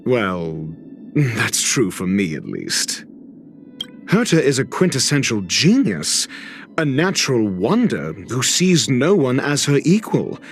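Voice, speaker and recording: A haughty scholar for a video game, with cutting condescension and theatrical articulation. The delivery is unhurried and widely inflected, each phrase measured as if lecturing lesser minds. Music plays underneath. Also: male voice, medium pitch. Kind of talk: scripted video game character monologue. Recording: voice over background music.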